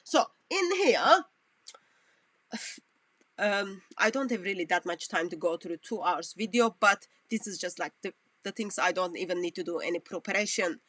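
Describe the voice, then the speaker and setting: A woman commentator, not a native English speaker, talking through a clip in halting, self-correcting phrases. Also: medium pitch, expressive, a bit bright, dry recording. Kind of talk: reaction commentary on a played clip.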